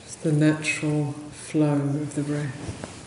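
A woman leading a guided meditation as a teacher, speaking gently and unhurriedly in short phrases with long pauses. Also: low voice, completely flat delivery. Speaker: meditation teacher, Buddhist nun. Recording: roomy echo, faint background noise.

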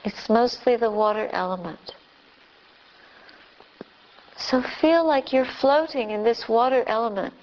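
A woman leading a guided meditation as a teacher, speaking gently and unhurriedly in short phrases with long pauses. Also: fairly high pitch, dull audio. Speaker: Buddhist nun, meditation teacher.

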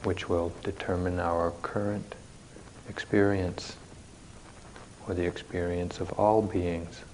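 Guy leading a guided meditation, really slow w long gaps between phrases, bit of noise in the recording. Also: low-pitched, level tone, a little mellow, rough recording. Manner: measured, halting delivery with long pauses between short phrases. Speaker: meditation teacher. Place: meditation retreat center, live session.

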